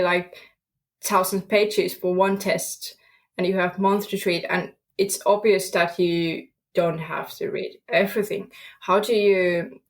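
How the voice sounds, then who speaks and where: A young woman from Finland speaking English with a Finnish accent as a podcast guest, searching for words with many fillers. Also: medium pitch. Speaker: guest student. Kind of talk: podcast interview.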